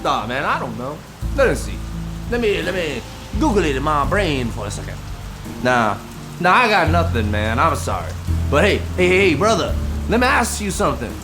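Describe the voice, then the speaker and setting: A man's voice: fairly high pitch, very animated, normal speed, over music. An actor playing a friendly homeless man begging on the street in an audio drama, with loose, slangy, pleading talk.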